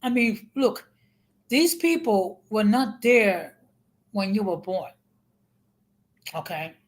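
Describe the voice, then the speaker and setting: A woman in a casual online talk, explaining her views in a measured, emphatic way, point by point. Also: mid-range voice, lively intonation. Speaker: interview partner. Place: New York.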